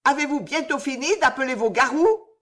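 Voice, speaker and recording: A woman's voice: on the high side, sing-song, measured pace, fairly bright tone, voice pushed up. A woman voicing a fierce, protective young peasant woman who scolds people sharply, in an audiobook reading. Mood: angry.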